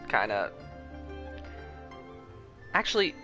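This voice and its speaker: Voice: medium pitch, very animated, slow, loud music behind the voice. A game streamer giving casual live commentary, chatting and musing aloud.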